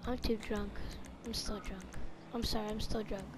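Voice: medium pitch, level tone, normal speed, very noisy. A player chatting casually in improvised roleplay during a video game, over a home gaming voice chat.

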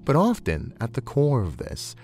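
A man voicing a genteel, soothing, playfully predatory snake-creature counselor in a measured, eloquent roleplay audio. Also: medium pitch, huge pitch swings, a little mellow, faint music behind, pitched above the performer's own voice. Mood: soothing, thoughtful.

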